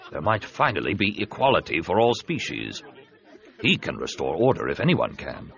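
Man voicing a low, persuasive scheming alien senator. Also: creaky, fairly bright tone, muffled recording, exaggerated voice. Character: scheming, soothing, heavyset male alien senator and host.

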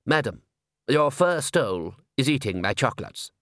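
Stuffy, prim gentleman, narrator puts him on, aggrieved politeness, dry sound. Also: medium pitch, big pitch swings, normal speed, no echo.

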